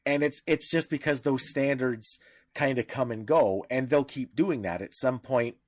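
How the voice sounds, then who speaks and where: A man's voice: medium pitch, normal speed, dry recording, studio quality. A man co-hosting a podcast in casual discussion, talking in a blunt, irreverent way. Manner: blunt, irreverent, freely profane. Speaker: podcast co-host.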